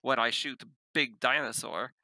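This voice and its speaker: A man's voice: mid-range voice, unhurried, creaky, sharp tone, no room sound, heavily acted. A man voicing a blunt, pushy female agent in a tabletop game, casual and insistent.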